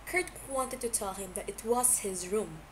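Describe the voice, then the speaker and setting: A woman narrating fan fiction as an amateur reader, stumbling now and then over the words, on a noisy home recording. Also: mid-range voice. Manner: halting reading with stumbles.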